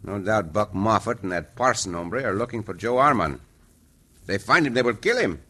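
A very bright, forceful Mexican cowboy hero, with courtly phrasing. His delivery is animated and commanding, in old radio western style. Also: on the low side, moderate pace, husky, exaggerated voice. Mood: cool, urgent.

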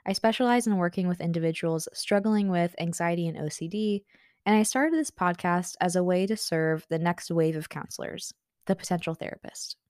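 A woman therapist hosting her podcast, reading a scripted intro and then interviewing calmly. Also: lowish voice, moderate pace, no room sound, studio quality.

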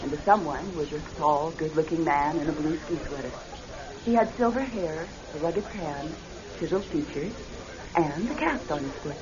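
A woman's voice: low-pitched, lively intonation, normal speed, a crowd in the background. An actress playing a polite, poised divorced woman architect in a classic radio drama. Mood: reflective, narrating. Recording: dull lo-fi old radio recording.

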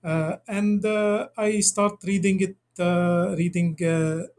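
Halting, fairly high-voiced male scholar. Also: fairly even intonation, a little mellow. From Lebanon. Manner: halting, many fillers and restarts. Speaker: guest author, scholar. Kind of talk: interview answer.

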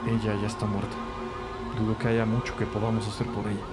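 A man playing an easygoing, gentle young investigator in a scripted audio drama. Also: on the low side, completely flat delivery, fast talker, natural voice. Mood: calm, serious. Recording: music bed under voice. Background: music.

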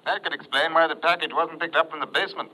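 An actor playing a brisk, assured police inspector in a 1950s radio drama. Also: male voice, fairly high pitch, fairly even intonation, sharp tone, a little hiss or hum, low-quality recording. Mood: pleased, assured. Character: brisk male London police inspector sharing findings.

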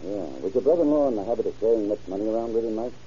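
Actor as a mellow, fast-talking radio sheriff. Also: male voice, fairly low pitch, lightly acted. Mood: pondering. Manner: measured, matter-of-fact questioning. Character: steady, businesslike male county sheriff leading a murder case. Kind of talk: old-time radio crime drama acting. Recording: dull, noisy vintage radio recording.

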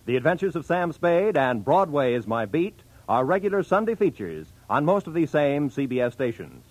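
Old-time American radio announcer, male, polished and declamatory, higher register, swooping intonation. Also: sing-song, moderate pace, no room sound, poor audio. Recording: vintage radio broadcast, music underneath.

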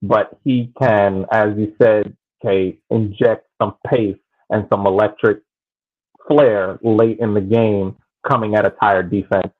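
A man's voice: on the low side, steady tone, slow pace. A man giving casual sports analysis on a panel over a thin line, explaining points with emphatic repetition. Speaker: podcast panelist. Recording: thin phone-like band-limited line.

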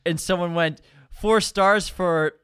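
A young man chats about films as a podcast co-host, breaking into quick excited bursts and laughter. His voice is smooth and clear. The recording is dry and close, with no room sound. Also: high-pitched, no echo. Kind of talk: casual chat.

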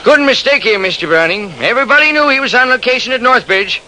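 An actor playing an earnest, deferential train conductor in an old-time radio drama, explaining himself with eager emphasis. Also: male voice, extremely high pitch, animated, quick pace, sharp tone, a little hiss or hum, heavily acted, poor audio.

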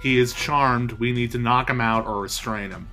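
A man's voice: mid-range voice, fairly even intonation, normal speed, loud music behind the voice. A man playing a tabletop game, making wry asides and rule points. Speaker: player. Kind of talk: casual tabletop play.

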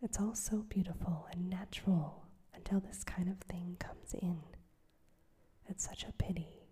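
A woman voicing a proud, gentle young woman who refuses a suitor, in a soft whisper. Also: on the low side, steady tone, unhurried, slightly rough. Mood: firm, wistful. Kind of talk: whispered audiobook reading.

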